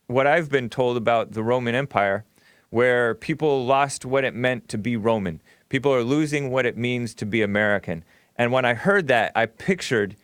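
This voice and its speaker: A man's voice: mid-range voice, clear, resonant tone, dry recording, studio quality. A middle-aged man, co-host of a stream, talking in a casual, rambling monologue with many fillers and self-corrections.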